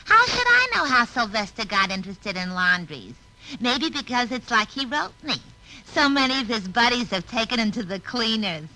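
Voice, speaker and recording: A woman's voice: a little high, sing-song, normal speed. A woman playing a chatty, naive switchboard operator, gossiping brassily in a vintage radio comedy. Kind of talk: 1950s radio comedy acting. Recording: old radio recording, faint noise.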